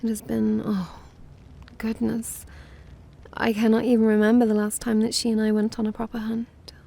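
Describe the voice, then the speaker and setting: A woman's voice: on the high side, completely flat delivery, moderate pace, vocal fry, dark tone. A woman voicing a naive, prim and haughty vampire mistress in an ASMR roleplay, with dainty, formal, half-whispered speech. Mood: dismissive.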